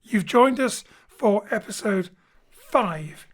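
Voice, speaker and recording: A man's voice: high-pitched, animated, slow pace, a bit bright. A man from Wales, director and co-writer, introducing the company in a friendly, jokey way and mixing in Welsh phrases, from a home studio. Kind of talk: scripted introduction to a show.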